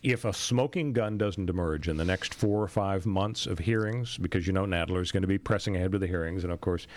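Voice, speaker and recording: A man's voice: low voice, a little fast, slight background noise. A middle-aged American man hosting a radio talk show, commenting on news and history in a conversational way. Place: radio studio. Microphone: close studio microphone.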